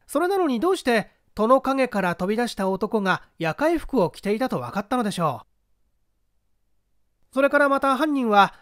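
Woman voicing teenage boy sleuth, confident, polite, animated intonation, dry sound, mystery drama. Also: fairly high pitch, moderate pace, no echo. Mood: calm, probing. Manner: polite, composed, self-assured. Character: calm, clever, confident teenage boy detective.

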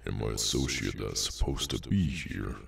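A man voicing a cursed, inhuman henchman in an ASMR roleplay, bored, sardonic and menacing, with an unhurried dry delivery. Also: lively intonation, fairly bright tone, distant mic, exaggerated voice. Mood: dryly explaining. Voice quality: very deep, gravelly. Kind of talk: ASMR audio roleplay, slow dramatic monologue.

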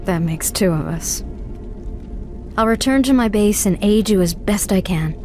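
A woman's voice: medium pitch, measured pace, a little mellow, soft background music, close to an everyday voice. Woman playing a self-assured young sorceress. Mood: businesslike. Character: proud, wary young human sorceress and leader. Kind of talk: video game voice acting.

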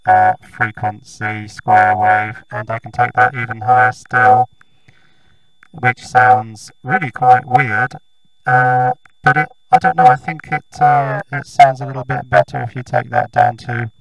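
A man's voice: mid-range voice, huge pitch swings, unhurried, vocal fry, highs cut off, music in the background. A British man giving a casual, hesitant demonstration through a robotic vocoder that turns his voice into buzzing tones. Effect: robotic vocoder, voice modulating buzzing tones and noise. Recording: noisy, humming vocoder output.

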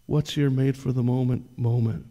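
Older male pastor, conversational sermon delivery, mellow voice, reverberant church hall. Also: mid-range voice, fairly even intonation, normal speed. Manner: conversational preaching, direct questions to the congregation. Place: church stage.

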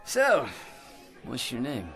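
An actor with an American accent playing a middle-aged, self-important bore at a bar in a radio drama, stammering and rambling. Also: male voice, mid-range voice, huge pitch swings, slow pace, a bit bright, soft background music, exaggerated voice. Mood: chatty. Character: boring, argumentative middle-aged bigmouth at a bar.

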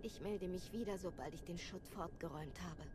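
A woman's voice: fairly low pitch, brisk, close to an everyday voice. A woman playing a sombre Jedi searcher in a game cutscene. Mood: worried, sombre. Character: sombre female Jedi searcher reporting the missing. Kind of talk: dramatic game cutscene acting. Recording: game ambience and noise behind voice.

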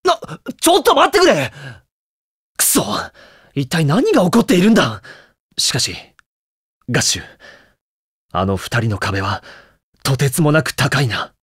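A man's voice: on the high side, sing-song, unhurried, pauses often, a bit bright, breathy edge, no echo, clean studio sound, exaggerated voice. An actor playing a flustered, earnest teenage boy in an anime game. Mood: alarmed.

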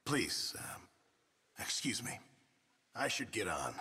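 An actor playing a gruff, fretful middle-aged camp cook in a Western video game, exasperated and husky. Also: male voice, on the low side, slow, in a whisper, in a large hall, heavily acted. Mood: polite, hurried. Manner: exasperated, excitable, swinging from mutters to shouts. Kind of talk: video game ambient character barks.

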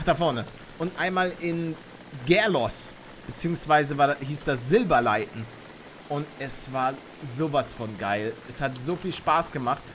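A young man streaming a game live, chatting with his viewers and reacting loudly, close to a studio mic at home. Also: on the high side, a little hiss or hum. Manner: chatty, reads chat aloud, bursts into excited shouts. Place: home streaming room, indoors. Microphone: studio mic on arm near face.

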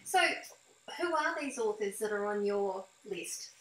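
A woman's voice: medium pitch, animated, a little slow. A middle-aged woman, asking questions in a conversational interview. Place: indoor home room. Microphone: computer mic on a video call. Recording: echoey room on video call.

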